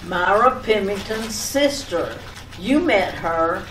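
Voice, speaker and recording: A woman's voice: mid-range voice, animated, takes it slowly, fairly bright tone. Woman acting a fussy radio-skit wife, echoey room. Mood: insistent. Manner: fussy, repeating herself. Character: fussing, nagging, affectionate wife seeing husband off. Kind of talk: old-time radio comedy skit acting. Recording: echoey room, distant mic, background noise.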